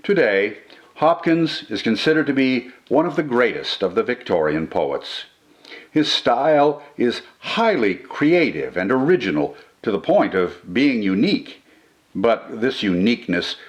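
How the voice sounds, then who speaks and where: An older man with a low-pitched voice narrates like a literature teacher. His delivery is measured and articulate, and his intonation rises and falls widely as he explains. The style is scripted and instructive. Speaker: poetry reader and commentator, host.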